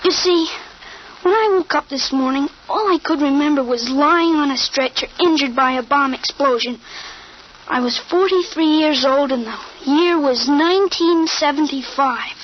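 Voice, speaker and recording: A woman playing a thirteen-year-old boy who speaks with an adult's calm, articulate knowledge in a 1950s radio drama. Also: high-pitched, normal speed, natural voice. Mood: earnest. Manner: calm, articulate, matter-of-fact. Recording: dull old radio broadcast with faint hiss.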